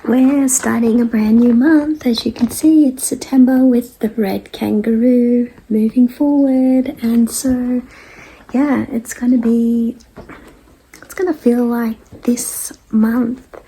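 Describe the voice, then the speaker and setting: Woman, highish pitch, soft mellow tone, dry croaky throat from a cold, casual hesitant vlog chat. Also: steady tone, takes it slowly, low-quality recording. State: recovering from a cold, throat-clearing. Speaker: artist hosting a weekly message show.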